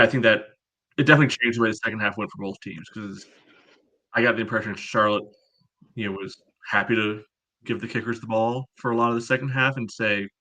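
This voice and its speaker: A man co-hosting a sports podcast, giving casual match analysis in short, measured phrases. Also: lowish voice, pushed and bright, rough recording.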